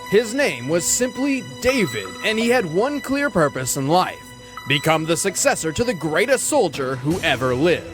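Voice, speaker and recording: High, animated male narrator over music. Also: normal speed. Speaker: host of a video game analysis show. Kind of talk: dramatic scripted explanatory narration.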